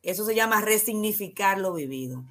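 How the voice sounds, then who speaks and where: A woman with a Dominican accent, a guest counselor on a talk show, explaining ideas in a calm, teacherly way and answering her own questions. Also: medium pitch, fairly quick, slight background noise.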